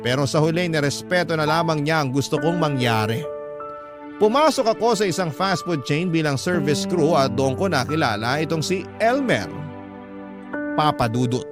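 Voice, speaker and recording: A man's voice: a little high, expressive, moderate pace. A man narrating a scripted first-person life story for a radio drama. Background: music.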